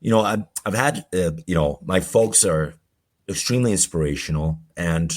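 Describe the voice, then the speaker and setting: Male podcast guest, forties, deep voice, halting personal storyteller. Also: lively intonation. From Colombia. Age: 40s.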